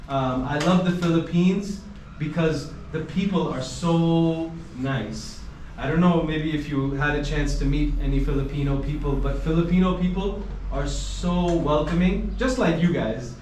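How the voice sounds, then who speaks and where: A travel vlogger talking to a class of students in a school, animated and laughing often, using simple clear wording. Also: male voice, on the high side, a little slow, few pauses, a little hiss or hum. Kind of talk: casual talk to a class. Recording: echoey room, from a distance.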